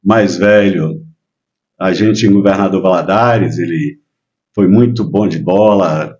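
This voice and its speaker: A man in his sixties from Minas Gerais, a guest telling his life story in relaxed, casual chat with frequent hesitations. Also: deep voice, slow, highs cut off. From Minas Gerais, Brazil. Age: 60s. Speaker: guest, local businessman.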